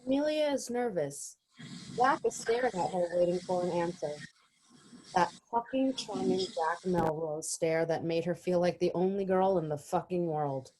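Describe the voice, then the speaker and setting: A woman's voice: lowish voice, normal speed, a little mellow, a little hiss or hum, natural voice, rough recording. A woman reading stage directions as narrator in a virtual reading of a play. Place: remote virtual reading over video call.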